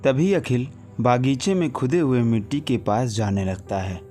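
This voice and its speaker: A man narrates a scripted horror tale at a middle pitch, in the manner of a storyteller. Light music plays under his voice. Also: moderate pace, dark tone.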